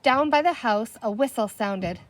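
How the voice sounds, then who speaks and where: A woman reads a children's story aloud in a fairly high voice. Her narration is expressive, with pitch that rises and dips widely through each sentence. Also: a little slow, dry recording. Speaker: storybook reader.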